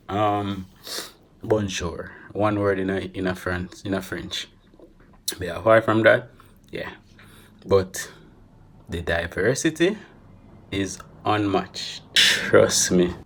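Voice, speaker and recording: A young man with a Jamaican accent talks straight to the camera in a loose, chatty vlog. His voice sits fairly low. He keeps it animated and drops Creole phrases into his English as he goes. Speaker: travel vlogger. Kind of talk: casual vlog monologue.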